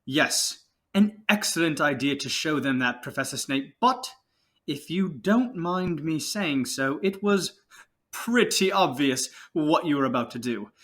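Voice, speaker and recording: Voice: medium pitch, animated, a little slow, fairly bright tone. An actor in an audio drama playing a vain, showy male wizard professor with a pompous, theatrical, self-assured way of talking. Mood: breezy bluster.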